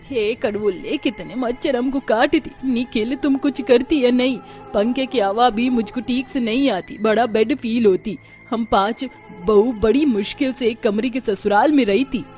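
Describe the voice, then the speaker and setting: Young South Indian daughter-in-law played by a woman, high voice, broken grammar, plaintive, storybook drama. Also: moderate pace, hardly any pauses, a little mellow, soft background music, close to an everyday voice. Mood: complaining.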